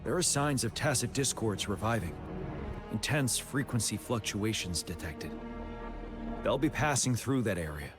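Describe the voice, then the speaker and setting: An actor playing a resolute, protective military general in a video game, serious and terse in manner. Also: male voice, lowish voice, animated, moderate pace, over music, close to an everyday voice. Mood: serious, determined. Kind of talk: dramatic video game voice acting.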